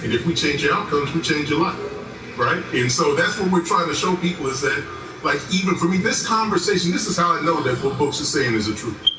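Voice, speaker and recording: A man co-hosting a casual talk stream, telling a story with lively quoted dialogue. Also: fairly high pitch, brisk. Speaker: co-host. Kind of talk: casual podcast chat. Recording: echoey, distant mic with music behind.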